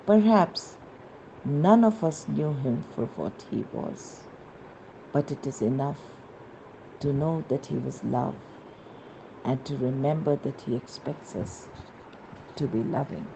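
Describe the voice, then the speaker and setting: A woman reading devotional narration in a reverent, measured manner with emphatic phrasing. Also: extremely low pitch, animated, creaky, background noise.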